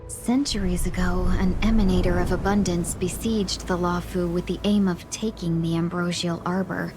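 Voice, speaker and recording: An actress playing a calm, solemn young woman guide in a video game, speaking politely and formally. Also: lowish voice, moderate pace, natural voice. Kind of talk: video game dialogue acting. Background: soft game music.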